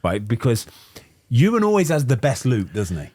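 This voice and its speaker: A man's voice: medium pitch, normal speed, no room sound. A man co-hosting a football podcast from a club kit room, a former player asking playful questions and telling stories. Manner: lively, teasing, asks questions with tags. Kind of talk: casual podcast chat.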